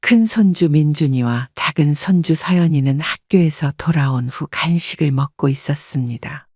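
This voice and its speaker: A woman's voice: sits low, normal speed, a little mellow, no room sound, clean studio sound. A woman reading a scripted first-person story as an audiobook narrator.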